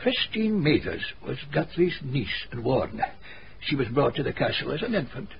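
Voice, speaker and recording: An actor playing a venerable, learned Scottish cobbler in a radio drama, telling gossipy tales in a wordy, formal dialect. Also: male voice, mid-range voice, big pitch swings, moderate pace, vocal fry. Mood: gossipy storytelling. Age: older. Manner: wordy, dialect-rich, pedantic storytelling. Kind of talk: radio drama dialogue, storytelling. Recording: muffled radio broadcast, background hiss.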